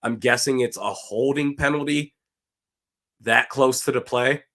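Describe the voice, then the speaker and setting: A man whose voice runs a little raspy and hoarse from weeks of yelling. He calls plays as they happen and chats loosely with his viewers, speaking at a medium pitch. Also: a little slow. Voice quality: slightly raspy. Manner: casual, talks to chat, reads comments aloud. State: hoarse from screaming. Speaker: watch party streamer. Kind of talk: live game commentary and chat reaction.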